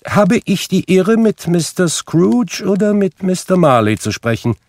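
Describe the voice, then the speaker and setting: A man voicing a portly, courteous gentleman who speaks formally. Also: high voice, very animated, unhurried, no echo, voice pushed up, heavily acted. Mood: polite. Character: portly polite older gentleman collector.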